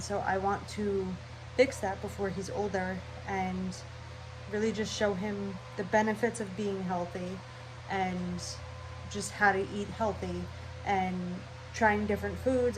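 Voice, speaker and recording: A woman's voice: medium pitch, background noise. Nasal, stuffy-nosed young woman vlogging. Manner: scatterbrained, rambling, chatty. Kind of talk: casual channel intro talk.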